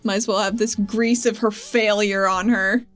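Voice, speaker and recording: A woman with a medium-pitched voice plays a tabletop game. She describes her character in a casual, improvised manner, faint music behind her. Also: steady tone, normal speed.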